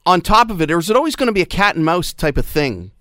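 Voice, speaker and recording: A man hosting a radio talk show in a studio, chatty and excitable, with lots of fillers. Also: high-pitched, fast talker, no echo. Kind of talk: casual radio chat.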